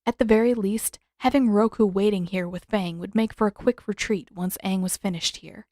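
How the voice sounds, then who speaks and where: A woman's voice: mid-range voice, steady tone, normal speed, dark tone, no room sound, clean studio sound. A woman reading scripted fiction aloud as the narrator of an audiobook-style story. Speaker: narrator, fan fiction author.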